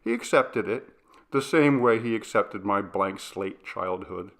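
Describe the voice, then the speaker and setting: A man narrates a story with a low, gravelly voice and a bright, forceful edge. His reading is dramatic and scripted, told in the first person. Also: a little slow. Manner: dramatic, acts out dialogue with shifts of pitch and volume. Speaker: story reader.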